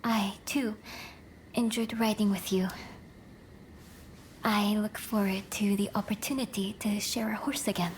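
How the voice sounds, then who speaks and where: Female actor, dignified princess, formal, stern yet gracious, unhurried delivery, video game drama, faint background ambience. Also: mid-range voice, steady tone, slight background noise, natural voice. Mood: composed, gracious. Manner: formal, composed.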